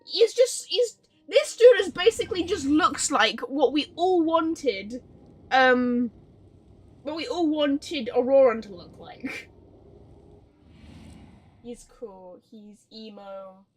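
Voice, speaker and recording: A woman's voice: sits high, lively intonation, slow pace, long pauses, rough recording. A woman livestreaming a video game in casual, chatty, rambling and playful talk, with some loose swearing. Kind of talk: casual gaming stream chat.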